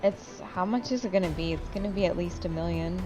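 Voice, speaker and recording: A woman's voice: fairly low pitch, fast talker, a little mellow, faint music behind. A woman chatting casually and bluntly, with some swearing, while playing an online game. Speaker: gaming video host.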